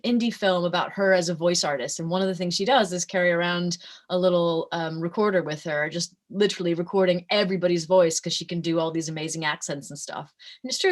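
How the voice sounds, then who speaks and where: A woman's voice: fairly low pitch, quick pace. A woman worn down by abroad, a voice actress chatting casually and laughing often. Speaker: guest voice actress. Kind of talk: casual chat.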